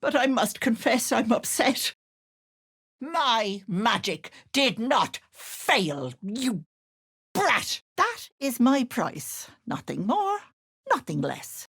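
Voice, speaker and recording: An actress playing a sly old hag who poses as a sweet auntie in a video game, cooing and wheedling with menace underneath. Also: female voice, a little high, very animated, takes it slowly, fairly bright tone, husky, exaggerated voice. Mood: aggrieved, menacing, then sinister bargaining. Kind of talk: theatrical video game character acting.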